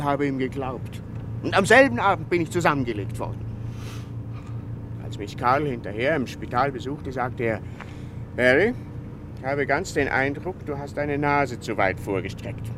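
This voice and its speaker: An actor playing an agitated, impatient singer confiding in the police in a radio crime drama. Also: male voice, animated, measured pace. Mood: urgent, confiding. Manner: impatient, urgent. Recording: noisy car interior, engine rumble. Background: vehicle rumble, traffic.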